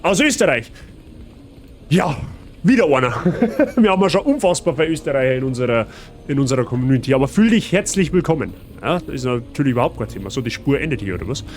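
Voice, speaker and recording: A man's voice: mid-range voice, very animated, quick pace, darkish tone, a little hiss or hum. A man with a Bavarian accent giving casual, chatty and playful live gaming commentary in colloquial speech. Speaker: streamer.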